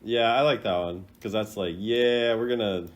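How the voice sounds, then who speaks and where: A man's voice: lowish voice, moderate pace. A man doing casual reaction commentary as a gaming creator, thinking aloud in an informal, rambling way. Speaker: video game content creator ranking voice lines.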